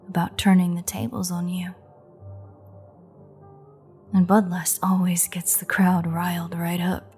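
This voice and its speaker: A woman playing a menacing, teasing vampire in an intimate audio drama monologue, her breathy voice often dropping to a near-whisper as she taunts. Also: on the low side, darkish tone. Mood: smug, taunting. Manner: slow, taunting, drawling. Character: menacing, teasing female vampire gloating over captive hunter.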